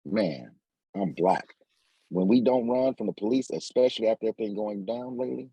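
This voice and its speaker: An actor playing a cocky, smooth-talking street hustler in an audio drama, husky and gravelly, with slangy, wry delivery. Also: male voice, fairly low pitch, fairly quick, dark tone, dry recording, poor audio. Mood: indignant.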